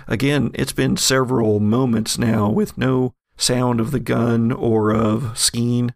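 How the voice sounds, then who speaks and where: A man runs a horror tabletop game as its game master, painting scenes as he makes them up. His voice has a gravelly creak and an average pitch. The sound is dry, with no room around it. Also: flat delivery, slow, no room sound. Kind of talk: narrating a horror tabletop game, semi-improvised.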